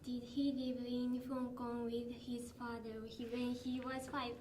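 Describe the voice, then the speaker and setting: A woman's voice: a little high, little pitch movement, measured pace, a little mellow. A woman student in an English class, hesitantly repeating practice sentences in a fluency drill. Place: indoor classroom. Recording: distant mic, echoey noisy room.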